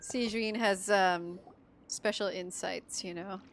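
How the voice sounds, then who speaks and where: A woman's voice: mid-range voice, fairly even intonation, slow, a little hiss or hum. A woman from the Philippines doing casual live gameplay commentary as a streamer, playful and slangy. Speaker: game streamer.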